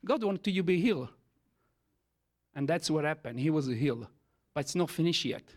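A man whose first language is Italian, speaking English with an Italian accent as he gives a church testimony in halting speech full of fillers. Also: on the high side, lively intonation. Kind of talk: testimony sermon.

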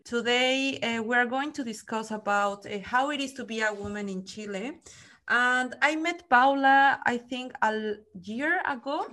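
A woman's voice: fairly high pitch, a bit bright. Mexican woman hosting a chat podcast. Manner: friendly, many fillers and restarts. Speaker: podcast host. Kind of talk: casual interview chat.

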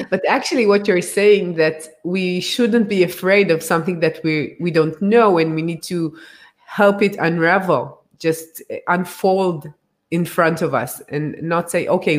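A podcast host and author speaking thoughtfully, rephrasing ideas while talking with a guest. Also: medium pitch. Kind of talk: conversational podcast interview.

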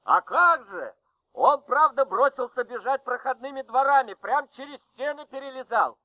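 A man's voice: extremely high pitch, lively intonation, normal speed. An actor in a Soviet radio play playing a police subordinate proudly reporting to his chief by telephone. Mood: proud, excited. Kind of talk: Soviet radio drama acting. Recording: dull, band-limited telephone sound.

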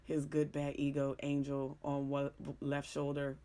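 Deep-voiced raspy woman, middle-aged sports talk host, hoarse, frank and rambling. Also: monotone, unhurried, dark tone. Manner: frank, joking, rambling, with mild cursing. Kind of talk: casual sports talk monologue.

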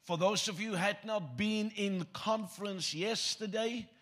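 Husky-voiced Afrikaans-accented preacher man. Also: sits high, measured pace, a bit bright. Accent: South African Afrikaans. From South Africa. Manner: joking, self-deprecating asides. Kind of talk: sermon with scripture reading.

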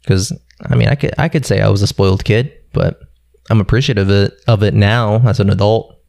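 A young man from Philadelphia, telling stories about growing up in a relaxed, rambling way with casual swearing. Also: lowish voice, fairly quick, gravelly, no echo, studio quality. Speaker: guest. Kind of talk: casual conversation.

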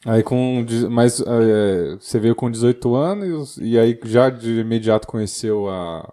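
A man's voice: medium pitch, unhurried, dark tone. A man hosting a church podcast, asking questions casually and tossing in jokes and short affirmations. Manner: joking, affirming interjections. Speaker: podcast host. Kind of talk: casual interview chat.

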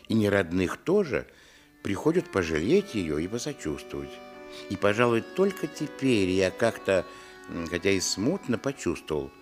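A man looking back on his country childhood in a radio drama. The low voice moves expressively as he tells the story reflectively, with soft music beneath. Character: grown man recalling his village boyhood. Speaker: narrator telling his childhood. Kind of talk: dramatised literary narration.

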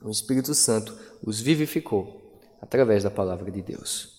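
A man preaching a sermon as pastor, with dramatic pauses and emphatic delivery. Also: lowish voice, expressive, darkish tone. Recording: long hall reverb.

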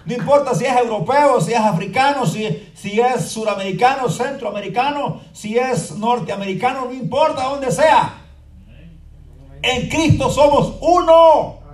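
A man preaching a fervent sermon as pastor. His voice sits high and rings through a reverberant room, heard from some distance off the mic. A faint murmur of people hums behind him. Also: measured pace. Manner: fervent preaching that swings between quiet explaining and shouted proclamation; often asks the congregation "¿verdad?". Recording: echoey room from far off with faint crowd noise.

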